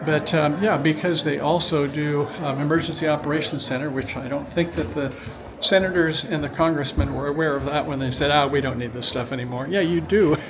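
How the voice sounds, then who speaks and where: A man with an American accent giving casual, rambling, unscripted commentary as a community TV reporter. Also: on the high side. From Minnesota, United States. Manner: chatty, rambling. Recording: busy crowd chatter behind. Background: crowd at an open house event.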